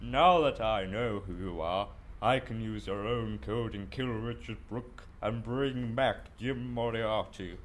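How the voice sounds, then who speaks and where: A man's voice: fairly low pitch, takes it slowly, few pauses. A man playing an arrogant, coolly analytical male detective in an amateur audio drama, speaking in a superior, matter-of-fact way. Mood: defiant.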